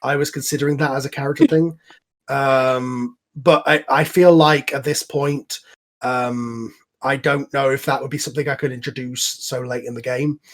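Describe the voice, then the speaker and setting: A man's voice: medium pitch, steady tone, normal speed. A man hosting a casual group chat over an online voice call, speaking as the creator of a show.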